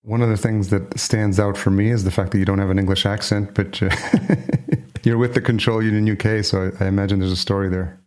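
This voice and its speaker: A man's voice: on the low side, steady tone, a little fast, darkish tone. A man with an American accent from New York, speaking conversationally and reflectively as a podcast host. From New York, United States. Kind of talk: conversational interview.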